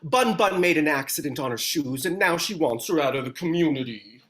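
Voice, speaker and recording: A man's voice: a little high, animated, fairly quick, fairly bright tone, slight background noise. A man acting an anxious, pleading pet owner in a screenplay table read.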